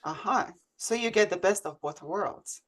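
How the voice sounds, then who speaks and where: A woman's voice: mid-range voice, measured pace. A woman hosting a conversational interview show, speaking over a remote video call. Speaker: host.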